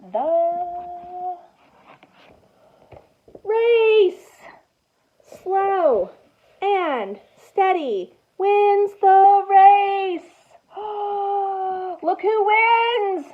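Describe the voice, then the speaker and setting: A woman teacher doing an expressive picture-book read-aloud for young children, commenting and asking prompting questions in an animated way. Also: very high voice, narrow, tinny audio. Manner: expressive, animated storytelling with gasps and exclamations.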